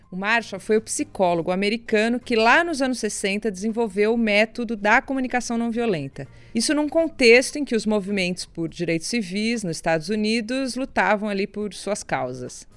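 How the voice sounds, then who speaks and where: A Brazilian woman with a mid-range voice hosts a podcast. She reads a clear, scripted introduction, then asks her guest questions, hesitating a little as she works out how to phrase them. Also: medium pitch, a bit bright, dry recording. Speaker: podcast host and interviewer.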